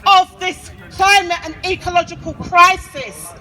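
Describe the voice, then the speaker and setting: A woman speaks at a public rally in an extremely high, forceful voice, taking her time with each phrase. Her delivery is emphatic and declamatory, and faint street and vehicle noise sits behind her. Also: steady tone, measured pace, a faint crowd. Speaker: activist speaker. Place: outdoors at a street gathering.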